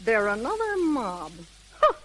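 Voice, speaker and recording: A woman playing an excitable, indignant crook in a 1930s radio drama. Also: on the high side, measured pace, heavily acted. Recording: noisy crackling 1930s radio recording.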